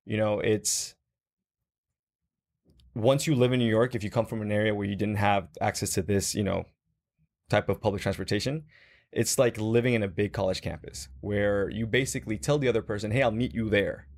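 A man's voice: fairly low pitch, moderate pace, a little mellow. A young man from Guatemala talking casually in a group conversation, reflective, with many fillers and restarts.

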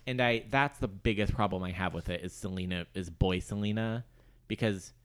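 A man hosting a podcast, chatting casually about pop culture and telling things in an animated, rambling way. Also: sits low, normal speed, clear, resonant tone. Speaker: podcast host.

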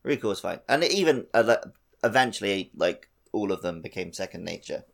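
Animated, low-voiced man with gravelly creak. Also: brisk. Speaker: podcast co-host. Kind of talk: casual game chat.

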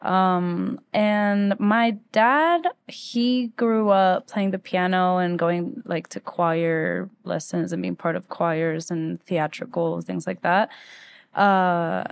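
A woman's voice: fairly low pitch, steady tone, takes it slowly, vocal fry, clean tone. A woman from Ecuador, around thirty, telling stories casually as a musician being interviewed, with "like" sprinkled through her speech. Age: late 20s to 30s. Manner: informal, peppers speech with "like". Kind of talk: casual conversational storytelling.

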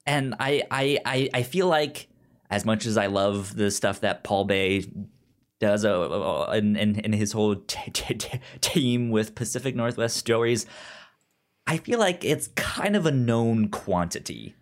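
A man's voice: lowish voice, expressive, unhurried. A man co-hosting a podcast in casual chat, stammering and often repeating words and syllables. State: short on sleep. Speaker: podcast co-host.

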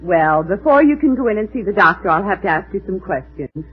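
A woman's voice: mid-range voice, expressive, a little fast, breathy edge. A woman playing a businesslike doctor's nurse and receptionist in an old radio comedy, officious and questioning. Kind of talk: 1950s radio comedy acting. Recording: dull old-time radio recording.

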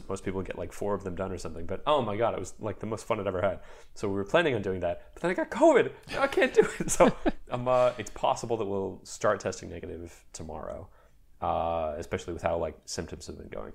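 A young man chatting casually, talking in a lively, rambling way with many fillers and restarts while getting over a mild cold. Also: low-pitched, gravelly. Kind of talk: casual chat.

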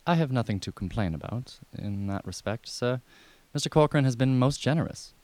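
An actor playing a discreet, deferential valet in a radio comedy, with a formal, measured and politely understated manner. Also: mid-range voice, animated, darkish tone, no room sound, close to an everyday voice. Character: discreet, deferential valet, unflappable and quietly firm. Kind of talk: radio comedy drama acting.

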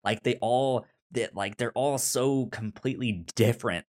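A man co-hosting a podcast, casually discussing a movie in a halting way, often stuttering and repeating words and syllables. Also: medium pitch, darkish tone. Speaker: podcast co-host.

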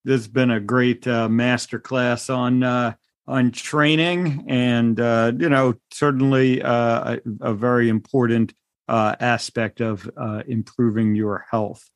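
A man co-hosting a podcast, speaking haltingly with many uhs. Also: medium pitch, steady tone, no echo, clean studio sound. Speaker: podcast co-host, doctor. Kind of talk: casual interview chat.

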